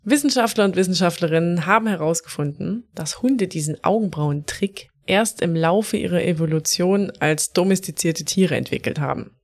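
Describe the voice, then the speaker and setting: A woman's voice: lowish voice, moderate pace, few pauses, a little mellow. A woman co-hosting a casual podcast, telling stories in a chatty way and laughing often. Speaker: podcast co-host.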